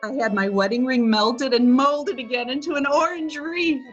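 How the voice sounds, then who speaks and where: A woman's voice: sits high, moderate pace, highs cut off, faint music behind. A woman playing a vain, proud, wealthy society dowager of seventy, haughty and imperious, reading in a readers theatre play.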